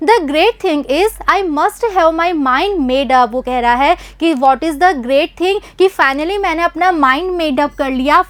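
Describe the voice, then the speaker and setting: A young woman teaches an English play, her voice high and her overall pace unhurried. She reads each line slowly and then explains it quickly, switching between Hindi and English. The sound is dry and close, with no room around it. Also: no echo.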